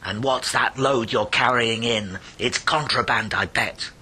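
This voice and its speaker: A man voicing a stern, cruel excise officer in a story, loud, commanding and accusing. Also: medium pitch, measured pace, slight background noise.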